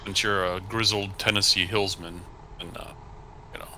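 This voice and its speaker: Male, deep gravelly voice, bright tense tone, dry teasing humor. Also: steady tone, normal speed, slight background noise, poor audio. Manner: teasing, joking. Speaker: livestream guest. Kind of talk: casual chat.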